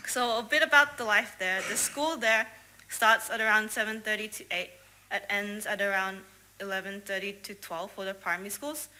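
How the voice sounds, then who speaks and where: A woman's voice: mid-range voice, sharp tone, poor audio. A young woman from Australia sharing a testimony about a mission trip with a congregation, earnest, with frequent fillers. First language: English. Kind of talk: prepared testimony talk to a congregation.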